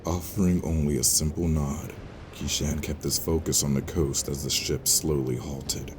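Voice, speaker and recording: A man giving solemn, measured, scripted narration for a fantasy audio drama. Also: extremely low pitch, fairly even intonation, background noise. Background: music under the voice.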